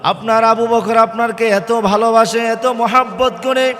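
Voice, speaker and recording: A man preaches in a high voice, taking his time over each drawn-out phrase. His storytelling is half sung and rises into loud, impassioned cries. Long hall reverb surrounds him, with faint music behind. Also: flat delivery. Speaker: Islamic preacher. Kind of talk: impassioned Bengali waz sermon, half-chanted storytelling.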